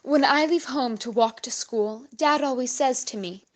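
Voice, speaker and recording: A woman giving an expressive, rhythmic dramatic reading as a guest storyteller for children. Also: sits high, normal speed.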